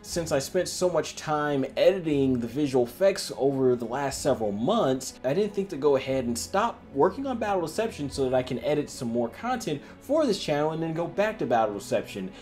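Animated, higher-pitched young man vlogging. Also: brisk, hardly any pauses. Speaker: independent filmmaker and video channel host. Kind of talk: vlog project update to camera. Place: small indoor room at home. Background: music.

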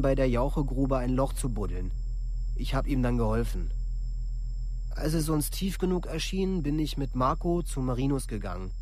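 An actor playing a young killer reciting a formal, matter-of-fact confession in a documentary radio play. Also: male voice, mid-range voice, darkish tone, slight background noise, close to an everyday voice. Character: young male killer giving a factual confession.

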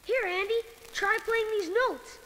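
A woman's voice: very high-pitched, a little slow, very noisy. A woman voicing an eager young treasure-hunting boy on a read-along storybook record, with music behind.

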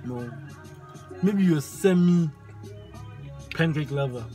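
A man's voice: on the high side, takes it slowly, dark tone. A young man from South Africa on a road trip, chatting and joking with his sister, filmed on a handheld phone camera. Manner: joking, playful banter. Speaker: vlogger's brother. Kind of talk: casual chat. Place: inside a car and outdoors by a dam. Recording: music playing under the voice.

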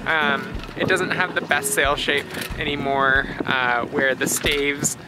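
A woman's voice: deep voice, slow. A woman in her thirties talking casually to a handheld camera on a sailboat, explaining things with plenty of fillers. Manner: relaxed, explanatory, with many fillers. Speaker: sailing vlogger. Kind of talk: casual vlog narration to camera. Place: outdoors on a sailboat on open water. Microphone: handheld camera at arm's length. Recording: loud wind noise on the microphone. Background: wind and water.

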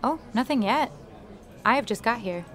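Woman playing young woman, slightly high voice, friendly, queasy, flustered, audio drama. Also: lively intonation, moderate pace, fairly bright tone. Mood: chatty. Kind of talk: amateur audio drama acting.

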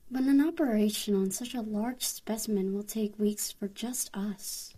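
A woman's voice: medium pitch, normal speed, mellow, a little hiss or hum, natural voice. A woman voicing a blunt, skeptical young assistant to a doctor in a comic fan dub. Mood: blunt, questioning.

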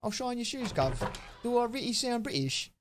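A man in his thirties with an Australian accent, chatting casually with viewers while streaming a game on a headset mic, relaxed and chatty with sudden loud outbursts. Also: extremely high pitch, moderate pace, background noise. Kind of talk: casual live-stream chat while gaming.

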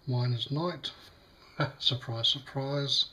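A man with a slightly croaky voice, demonstrating a device in a casual, hesitant way with many fillers. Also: medium pitch, takes it slowly, pushed and bright, low-quality recording. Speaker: tech unboxing vlogger. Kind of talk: casual product demo. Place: small indoor room at a table.

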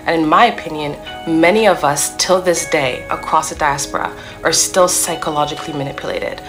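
Low-voiced woman, serious and emphatic. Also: brisk, music in the background. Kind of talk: opinion talk to camera. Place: indoor room.

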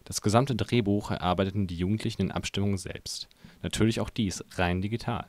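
A man's voice: on the low side, steady tone, fairly quick, a little mellow, no room sound. A man reading scripted narration for a news report as its reporter.

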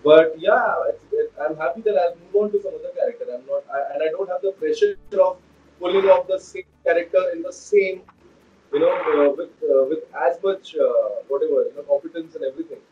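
Fast-talking man on a distant, dull video call. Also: on the high side, a little hiss or hum. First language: Hindi. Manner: rapid, restarts often, mixes English and Hindi. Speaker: actor guest. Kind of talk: casual interview over video call. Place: indoor room by a wall. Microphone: laptop or phone camera on a video call.

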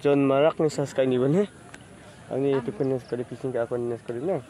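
Man, casual phone vlogger outdoors, medium pitch, easygoing chatter. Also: fairly even intonation, fast, dark tone. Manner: chatty, greets people as he walks. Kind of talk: casual walking vlog. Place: outdoors at a rural fishing pond fair. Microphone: handheld phone camera.

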